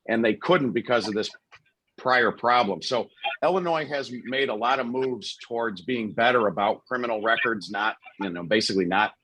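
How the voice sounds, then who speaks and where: A man, a lawyer running for office, answering forum questions over a video call in a relaxed way with many you-knows. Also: medium pitch, normal speed, a little hiss or hum, low-quality recording. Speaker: lawyer, candidate.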